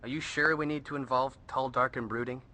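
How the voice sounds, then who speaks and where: An actor playing a cocky, joking teenage sidekick with an American accent in a video game, full of sarcasm. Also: male voice, mid-range voice, fairly even intonation, brisk, bright, forceful tone, lightly acted.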